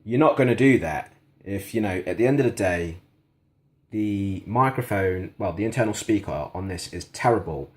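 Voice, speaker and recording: A man's voice: fairly low pitch, lively intonation, normal speed. A man giving a casual, frankly critical product review and demonstration indoors in a conversational way. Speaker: gadget reviewer. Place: indoors in a kitchen.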